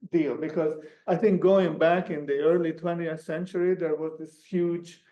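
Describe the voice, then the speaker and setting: A man whose first language is Hungarian, a guest speaker answering audience questions in an academic talk, halting with many restarts. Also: on the high side, fairly even intonation, lo-fi sound. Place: lecture room. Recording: echoey lecture room.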